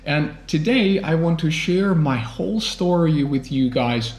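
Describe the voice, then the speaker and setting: Slow-talking Russian-born man, echoey room. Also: fairly high pitch, soft background music. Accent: American. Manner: calm, reflective, addresses viewers as guys. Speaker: English teacher and channel host. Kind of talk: personal storytelling vlog.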